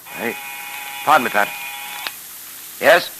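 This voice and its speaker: An actor playing a tough, alert police detective in a brisk, commanding 1930s radio serial style. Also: male voice, mid-range voice, animated, bright, forceful tone, exaggerated voice, low-quality recording. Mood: matter-of-fact. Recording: hissy 1930s radio broadcast recording.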